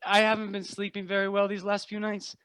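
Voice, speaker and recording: Hesitant, haunted younger brother. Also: male voice, high-pitched, completely flat delivery, a bit bright, clean tone, no room sound. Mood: subdued. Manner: hesitant, halting. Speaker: actor in a radio play. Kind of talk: radio drama acting.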